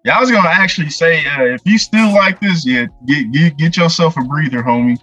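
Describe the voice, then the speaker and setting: Laid-back husky man, dull dry sound. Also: a little high, brisk, pushed and bright, no echo, faint music behind. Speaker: podcast co-host. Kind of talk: casual podcast chat.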